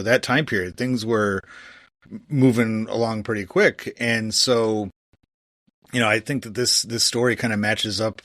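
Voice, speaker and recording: A man's voice: on the low side, fairly bright tone, no room sound, clean studio sound. A man hosting a podcast, talking casually and conversationally about history. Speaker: podcast host.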